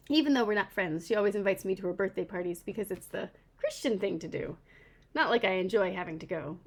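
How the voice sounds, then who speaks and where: A woman author reading her first-person children's novel aloud in an expressive storytelling style. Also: on the low side, gravelly. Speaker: author reading own book.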